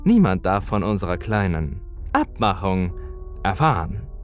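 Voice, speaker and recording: A man voicing a sinister old man in a horror story, coaxing and menacing, with slow, drawn-out words. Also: sits low, big pitch swings, soft background music. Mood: gracious, sly. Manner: slow, drawn-out, wheedling delivery. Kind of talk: horror audio story character voice.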